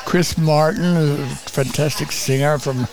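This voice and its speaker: An older man, a guest photographer in a casual interview, talking enthusiastically and rambling with a voice that is getting raspy. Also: a little high, steady tone, a little slow. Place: small bar.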